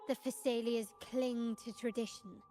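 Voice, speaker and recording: A woman voicing a poised, cultured young noblewoman in a video game, speaking in a refined, measured, formal manner. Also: on the high side, fairly even intonation, warm tone, lightly acted. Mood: thoughtful. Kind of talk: video game character dialogue. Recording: background music under the voice.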